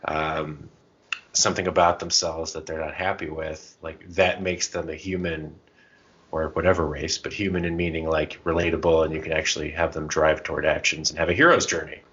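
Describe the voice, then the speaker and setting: A man's voice: a bass voice, flat delivery, brisk, fairly bright tone, husky, lo-fi sound. A man co-hosting a podcast, chatting casually and thinking aloud in a rambling way. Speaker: podcast co-host. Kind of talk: casual chat about tabletop roleplaying and comics.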